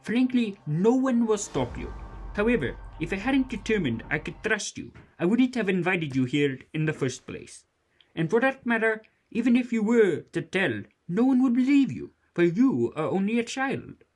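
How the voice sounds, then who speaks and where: An actor playing a kindly, earnest old mentor in a family audio drama, speaking in formal, deliberate phrases. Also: mid-range voice, very animated. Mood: earnest, resolved.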